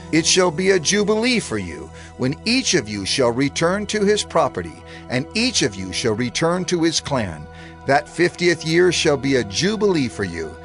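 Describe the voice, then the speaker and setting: Expressive male scripture narrator over music. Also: medium pitch. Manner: measured, solemn. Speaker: audio Bible narrator.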